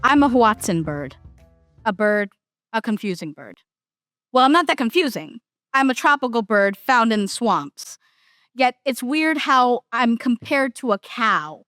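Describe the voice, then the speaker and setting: A woman's voice: a little high, very animated, a little slow, fairly bright tone, dry recording, soft background music. A young woman, a student poet, giving a dramatic first-person reading of a poem. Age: young adult.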